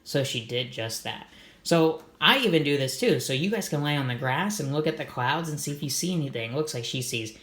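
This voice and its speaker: A man's voice: fairly high pitch, lively intonation, a little fast, fairly bright tone, reverberant. A man reading a children's storybook aloud, adding simple, encouraging comments for young listeners. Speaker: read-aloud host for children.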